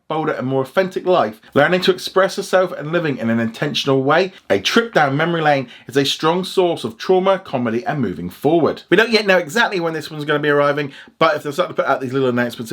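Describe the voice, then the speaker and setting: Fast-talking, higher-voiced man presenting entertainment news. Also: a bit bright. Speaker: host of a streaming news show and podcast. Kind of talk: upbeat news roundup for online video, part scripted, part casual commentary.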